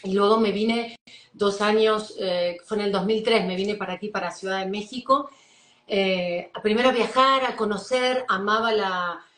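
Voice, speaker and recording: A woman's voice: mid-range voice, moderate pace. Argentine woman in an echoey room. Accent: Argentine Spanish, Buenos Aires. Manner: warm, enthusiastic, thoughtful with many fillers. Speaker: psychologist guest. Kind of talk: live-stream interview.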